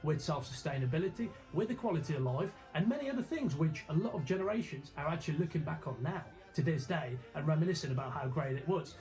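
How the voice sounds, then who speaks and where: An emphatic man presents an informal explainer over background music. His voice sits fairly high for a man, and he talks colloquially, tossing questions at the viewer. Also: lively intonation, fast talker, few pauses. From Slovakia. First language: Slovak. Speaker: video host. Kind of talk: informal explainer narration to camera.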